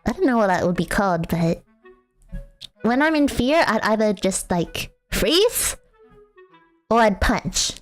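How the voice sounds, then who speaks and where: A woman's voice: medium pitch, very animated, measured pace, pauses often, soft background music. A young woman streaming a casual chat with viewers, rambling and self-mocking with lots of fillers and frequent laughter. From Australia.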